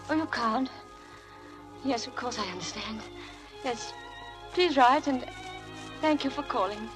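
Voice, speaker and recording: An actress playing a shy, earnest, lovestruck young gentlewoman, hesitant and sincere, in 1940s radio drama style. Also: fairly high pitch, unhurried, over music, close to an everyday voice. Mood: eager, then wistful.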